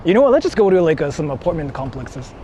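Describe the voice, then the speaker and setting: A man rambles casually to his livestream viewers in a fairly high, animated voice. His delivery is jokey and loose. He is recorded outdoors, with clear traffic and city noise around him. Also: quick pace. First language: Japanese. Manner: jokey, rambling, reading and answering chat. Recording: noisy outdoor street with traffic. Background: cars and urban street noise.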